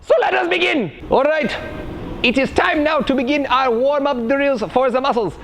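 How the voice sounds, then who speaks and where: A man's voice: very high voice, normal speed, a bit bright, a little hiss or hum, voice pushed up. A man playing an overexcited parkour coach with a mock German accent, stretching words in a sing-song way and tagging lines with "yeah?". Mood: bouncy, instructive. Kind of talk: comedic tutorial.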